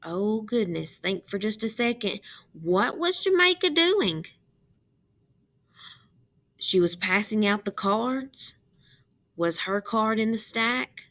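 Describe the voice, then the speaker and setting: A woman reading a picture book aloud to children in an expressive, deliberate way, pausing to put questions to her listeners. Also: mid-range voice.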